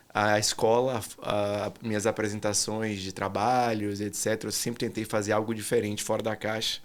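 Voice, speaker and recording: A man from Salvador with a Bahian accent, a guest artist speaking thoughtfully and softly in an interview, often pausing with fillers. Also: fairly low pitch. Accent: Bahian Portuguese. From Salvador, Bahia, Brazil. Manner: soft-spoken, thoughtful, trails off with fillers. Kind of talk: reflective interview conversation.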